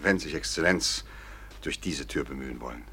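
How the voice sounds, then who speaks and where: An actor playing a warm-hearted, outspoken town mayor in a radio play, speaking earnestly. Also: male voice, a bass voice, normal speed, heavy background noise. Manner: earnest, indignant in defending a victim.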